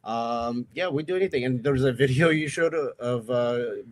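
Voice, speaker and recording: A man who is a guest on a casual livestream. He has a loud, projecting voice and rambles through his stories with lots of filler sounds. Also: medium pitch, normal speed. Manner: loose storytelling with frequent uh fillers. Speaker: guest, atheist group president.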